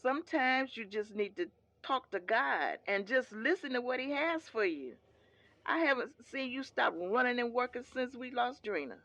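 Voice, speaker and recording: A woman's voice: a little high, expressive, moderate pace, a bit bright, no room sound. A woman playing a devout older mother in an audio drama, patient and reassuring, firm but tender, with a preachy streak. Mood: gently counseling.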